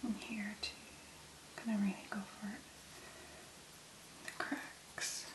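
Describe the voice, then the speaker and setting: A woman doing a soft-spoken ASMR demonstration in a gentle, breathy, unhurried murmur with pauses. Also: medium pitch, flat delivery, roomy sound. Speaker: ASMR video creator.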